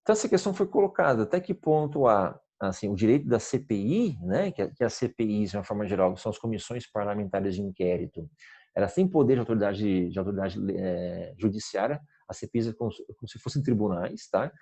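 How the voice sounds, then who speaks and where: A man gives a recorded law lecture. His voice sits a little low, mellow and slightly husky. He explains carefully, repeats words as he restarts, and often checks that listeners are following. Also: animated, fast talker. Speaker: law professor.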